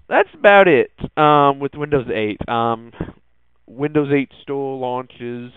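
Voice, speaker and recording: A man's voice: mid-range voice, smooth, dry recording. Man podcasting over phone-like, muffled audio. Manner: halting, hesitant delivery. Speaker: podcast host. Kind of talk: casual tech news podcast talk.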